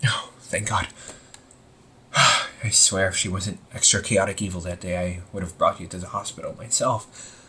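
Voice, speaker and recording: A man playing an anxious, wry young supervillain in a casual audio roleplay, talking informally with exasperated sighs and halting phrases. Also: low voice, steady tone, pushed and bright, slight background noise. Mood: relieved, sheepish. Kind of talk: amateur audio roleplay, casual conversational acting.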